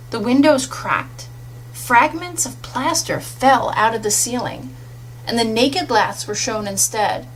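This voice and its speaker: High-voiced woman narrating an audiobook. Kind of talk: scripted literary narration. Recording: faintly noisy audiobook recording.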